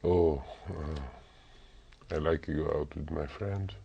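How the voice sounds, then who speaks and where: A man's voice: very deep voice, slow, creaky, mellow, slight background noise. A man from Italy with an Italian accent, a candidate in a speaking exam, answering hesitantly and searching for words. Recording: dull lo-fi recording.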